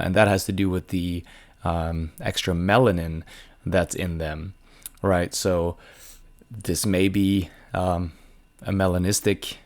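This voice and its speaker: A man with a raspy voice from a sinus infection, a snake breeder casually explaining his animals with many ums and uhs. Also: low-pitched. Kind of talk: casual explanatory show-and-tell.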